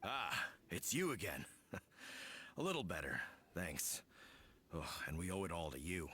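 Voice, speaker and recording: A man's voice: sits low, very animated, a little slow, pushed and bright, slightly rough, full character voice. A man voicing a friendly, grateful villager with a helpful, slightly hesitant manner in a video game.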